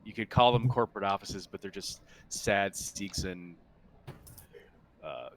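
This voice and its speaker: A man improvising scene descriptions as game master of a tabletop game, in a halting, descriptive way. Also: on the low side. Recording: faint background noise.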